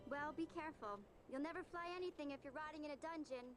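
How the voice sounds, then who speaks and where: A woman's voice: high voice, fast, music in the background, close to an everyday voice. A woman voicing a caring, chiding teenage orphan girl in a video game, earnest and youthful. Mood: concerned. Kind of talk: voice acting in a game cutscene.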